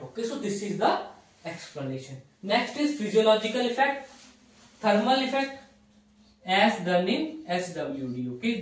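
A man in his thirties with an Indian accent giving a didactic whiteboard lecture in short, repeated phrases. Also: high-pitched. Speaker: physiotherapy lecturer. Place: small indoor classroom at a whiteboard. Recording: very echoey room, distant microphone.

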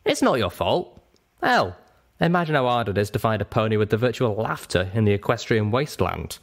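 An ancient male dragon played by a man, lonely and weary from keeping guard. He talks gravely and bluntly, with lively swings of pitch, as in an audiobook. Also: brisk, exaggerated voice. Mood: consoling. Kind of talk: audiobook character voice.

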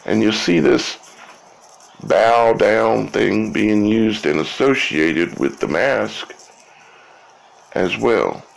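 A man giving an informal explanatory monologue in a halting way, repeating words and often checking in with "okay?" or "right?". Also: lowish voice, creaky, low-quality recording. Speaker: video creator.

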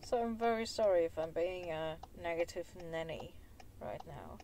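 A woman's voice: lowish voice, expressive, normal speed. A young woman raised in Germany, Polish her first language, chatting reflectively in her car with small laughs. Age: young adult. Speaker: vlogger. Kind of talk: casual chat while driving. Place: inside a car at night. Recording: voice distant from the mic, loud road noise.

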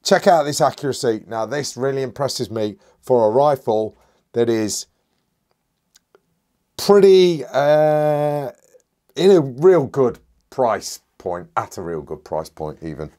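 A man, reviewing an air rifle in an enthusiastic, chatty way while hoarse and a bit stuffy from a cold. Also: mid-range voice, lively intonation, slow, warm tone. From United Kingdom. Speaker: airgun reviewer. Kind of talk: casual product review.

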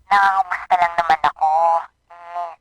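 A woman's voice: lowish voice, little pitch movement, normal speed, a bit bright, poor audio. An actress playing a friendly, caring young woman in a radio drama, heard through a telephone filter. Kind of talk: Filipino radio drama acting.

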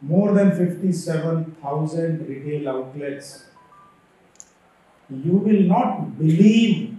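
A middle-aged man with an Indian English accent giving a conference keynote from a podium, emphatic and slowing down to stress figures. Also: high voice, a little mellow. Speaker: keynote speaker. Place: large auditorium stage. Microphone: podium microphone, heard from far. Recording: echoey hall from far off.

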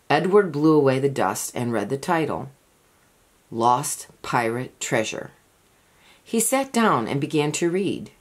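Low-voiced woman reading a storybook slowly. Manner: expressive, deliberate storytelling. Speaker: storybook reader. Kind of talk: children's picture book read-aloud, scripted narration.

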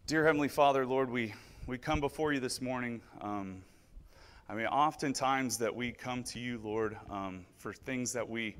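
A man's voice: medium pitch, moderate pace, clear, resonant tone. A middle-aged man leading a children's sermon in a church, asking the children lots of friendly questions with frequent fillers. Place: church sanctuary with pews. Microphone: far camera.

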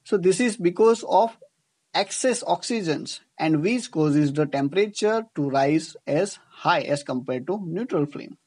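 A man's voice: a little high, darkish tone. A man with an Indian accent giving a scripted tutorial as an engineering teacher, explaining didactically and rephrasing his points. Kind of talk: scripted lecture narration over slides.

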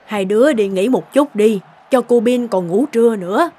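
Woman doing a strict elderly cartoon mother-in-law, nagging, fairly high voice, music behind. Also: moderate pace, mellow, natural voice. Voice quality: scolding, nagging tone. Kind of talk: cartoon dubbing.

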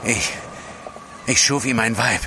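An actor playing a guilt-ridden, obsessive scientist in a radio play, speaking gravely and haltingly. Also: male voice, mid-range voice, sharp tone. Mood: grave, remorseful. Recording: noisy ship ambience effects.

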